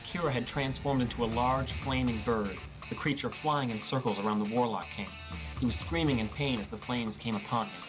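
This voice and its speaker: A man's voice: mid-range voice, a little fast, music in the background, poor audio. A man reading a scripted first-person story as an audiobook narrator.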